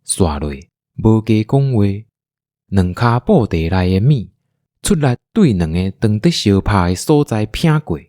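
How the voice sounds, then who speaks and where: Deep, animated Taiwanese male storyteller. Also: unhurried, no echo, clean studio sound. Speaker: narrator. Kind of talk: scripted radio drama storytelling in Taiwanese.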